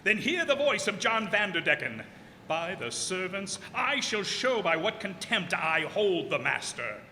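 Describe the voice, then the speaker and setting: Radio play villain: blasphemous proud sea captain, archaic commanding declamation, very piercing, slow delivery. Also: male voice, high voice, sing-song, pushed and bright, exaggerated voice. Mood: menacing. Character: mad, defiant, blaspheming Dutch sea captain, proud tyrant. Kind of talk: old-time radio drama acting. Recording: hall reverb, faint background noise.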